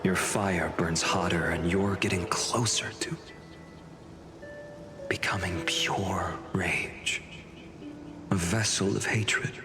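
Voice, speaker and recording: Slow, gentle, weary male companion. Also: sits low, steady tone. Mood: weary, grave. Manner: slow, measured, soft-spoken with sighs. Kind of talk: dramatic video game voice acting. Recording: studio voice over loud music.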